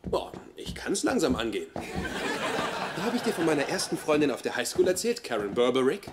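An actor playing a nerdy, eager and apologetic young boyfriend in a dubbed sitcom, earnest and a little awkward. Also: male voice, fairly high pitch, very animated, normal speed, heavy background noise. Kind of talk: dubbed German sitcom acting.

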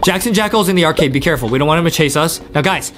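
A man in his twenties giving excited gameplay commentary, eagerly repeating his phrases. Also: a little high, very fast. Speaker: gaming video host. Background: faint game music.

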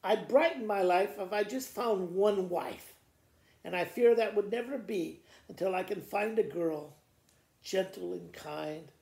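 A man in his sixties with a gravelly voice, reading children's poems aloud like a school principal to students, in a living room with the camera a little way off. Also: sits high, darkish tone. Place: living room by a fireplace, indoors. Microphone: camera a short distance away. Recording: roomy indoor echo.

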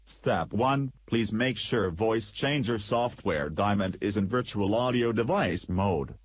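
A man narrating a scripted software tutorial in an instructional, step-by-step manner. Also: fairly low pitch, animated, takes it slowly, no room sound.

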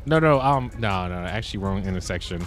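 A man chatting excitedly in voice chat while playing a roleplay game, often laughing and exclaiming. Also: low-pitched, quick pace, faint music behind. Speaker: streamer playing a civilian in a roleplay server. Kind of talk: casual voice chat while gaming.

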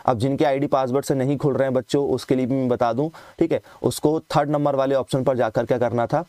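A man's voice: mid-range voice, steady tone, quick pace, mellow, no room sound. A man from India, a coaching teacher, explaining admission fees to students, often asking them a question and then answering it himself. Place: voice-over on scanned documents.

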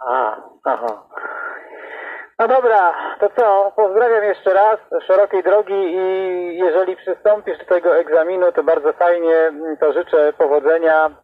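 High-pitched man on tinny CB radio. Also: measured pace, no echo. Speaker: CB radio operator. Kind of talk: casual radio chat. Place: heard through an external radio speaker. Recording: tinny, narrow CB radio transmission.

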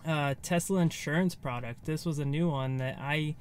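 A man's voice: fairly high pitch, normal speed, darkish tone. An American man in his thirties giving a casual, off-the-cuff recap to camera from inside a car, loosely phrased as if thinking aloud. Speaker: vlogger. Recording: faint background ambient noise.

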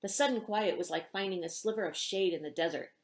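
A middle-aged woman, an author reading aloud in an expressive storytelling style in a small indoor room. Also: mid-range voice, brisk. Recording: roomy home echo.

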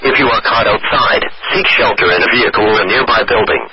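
Automated male weather radio voice, formal and impersonal, muffled lo-fi broadcast with heavy noise. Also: on the low side. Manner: synthetic, measured, impersonal. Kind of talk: formal emergency weather bulletin.

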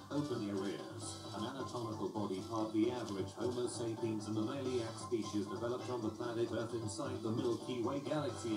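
A man reading memes and jokes aloud in a deadpan, mock-formal manner, with loud music playing behind him. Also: lowish voice, roomy sound, recorded from a distance, poor audio. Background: loud background music.

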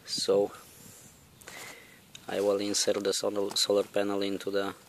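Guy doing a halting diy demo outdoors, monotone, bit of noise on the handheld cam. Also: lowish voice. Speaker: vlogger demonstrating electronics. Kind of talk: casual hands-on demonstration. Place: outdoors on a lawn. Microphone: handheld camera or phone, held by the speaker. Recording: noisy outdoor camera recording.

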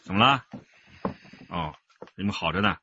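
An actor playing a frank, sardonic senior police detective in a radio drama. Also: male voice, low-pitched, animated, normal speed, sharp tone, lo-fi sound, slight background noise. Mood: sarcastic.

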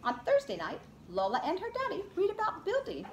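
A woman's voice: very high-pitched, wildly swooping pitch, brisk. A middle-aged woman, a preschool teacher reading a picture book aloud and talking expressively to young children. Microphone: camera a short distance away. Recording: roomy echo, clear background noise.

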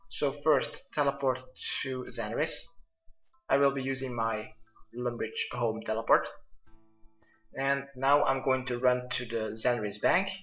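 Bright-voiced man guiding gamers, roomy sound. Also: medium pitch, fairly even intonation, measured pace. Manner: instructional, step-by-step. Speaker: gamer presenting a quest guide. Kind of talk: video game walkthrough commentary. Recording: muffled lo-fi roomy mic, music behind.

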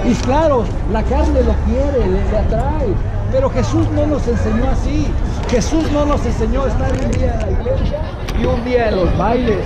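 Fervent high-voiced street preacher, noisy crowd. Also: male voice. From Mexico. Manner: fervent, exhorting, calls out to passersby. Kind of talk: open-air street preaching. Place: outdoor plaza outside a concert venue. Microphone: action camera worn on the body. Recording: loud outdoor crowd and street noise. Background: crowd chatter and street noise.

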